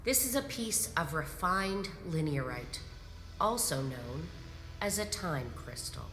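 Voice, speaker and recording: An actress playing a composed, commanding imperial grand marshal in a sci-fi audio drama, measured and probing. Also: female voice, low voice, expressive, fairly bright tone, slight background noise, heavily acted. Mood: ominous, measured. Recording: echoey hall reverb, music underneath.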